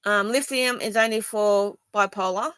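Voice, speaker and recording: A woman's voice: medium pitch, fairly even intonation, moderate pace, a bit bright, clean tone, dry recording, studio quality. An Australian woman from Sydney speaking to viewers in a personal video, rambling and defensive, with many ums and restarts. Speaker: vlogger.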